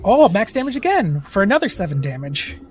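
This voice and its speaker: High-voiced man describing punches. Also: brisk, over loud music. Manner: excited, energetic action descriptions. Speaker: player. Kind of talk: playing a monk at a tabletop game.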